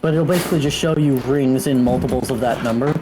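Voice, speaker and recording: A man's voice: medium pitch, fast, music in the background. A man chatting casually as a player in a tabletop game, explaining how things work.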